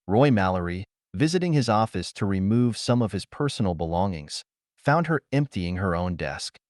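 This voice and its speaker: A man reading pulp science fiction as an audiobook narrator, in an expressive, theatrical way. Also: lowish voice, normal speed, no room sound, clean studio sound.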